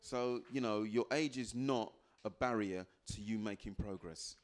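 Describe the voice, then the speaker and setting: A man, a life coach giving an animated motivational talk to a live audience and putting questions to it. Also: lowish voice, a little slow. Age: 50s. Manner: animated, quotes people in put-on voices, asks the crowd questions.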